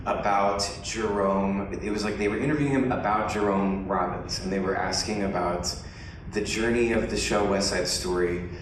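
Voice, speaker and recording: Man, lowish voice, rambling story, excitable, distant mic, noisy echo. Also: moderate pace. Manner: excitable, laughing, rambling anecdote. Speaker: cast member guest. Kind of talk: casual storytelling on stage. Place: theater stage before a live audience. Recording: echoey hall from far off, audience noise.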